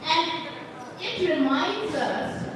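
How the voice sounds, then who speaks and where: Older lady from bangladesh giving a speech, high voice, far off in a big echoey hall w crowd. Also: lively intonation, drawn-out words. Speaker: guest speaker at a community event. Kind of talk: public speech. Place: large indoor atrium at a community event. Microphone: handheld mic, filmed from a distant phone.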